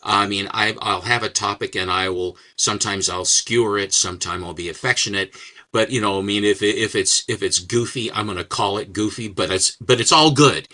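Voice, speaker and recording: An older man as an interview guest, talking in a rambling, halting way with many restarts and fillers. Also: on the low side, pushed and bright. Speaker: guest, comics writer and collector. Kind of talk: casual interview chat.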